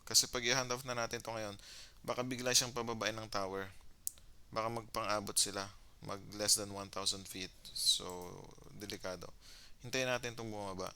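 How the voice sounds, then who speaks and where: A man's voice: fairly low pitch, level tone, normal speed, sharp tone, a little hiss or hum. A man with a Filipino accent casually commentating his own gameplay, thinking aloud and mixing Tagalog with English. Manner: mixes Tagalog with English phrases.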